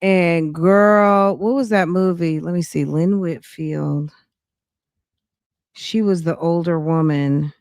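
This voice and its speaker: Low-voiced, slow-talking woman, sassy commentary. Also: fairly even intonation, a little mellow, dry recording, clean studio sound. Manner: slangy, sassy, addresses listeners directly. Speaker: podcast host reacting to a recorded call. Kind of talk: casual, gossipy reaction commentary.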